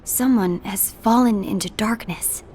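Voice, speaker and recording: An actress playing a poised, diplomatic member of a secretive order in a game, formal and firm. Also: female voice, mid-range voice, unhurried, a little mellow, a little hiss or hum, close to an everyday voice. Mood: calm, reasoning. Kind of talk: dramatic game cutscene acting.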